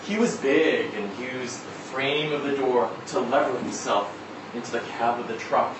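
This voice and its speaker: A man reading a literary short story aloud at a live event in a measured, expressive way. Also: medium pitch. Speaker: story reader. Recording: roomy, distant, noisy live event recording.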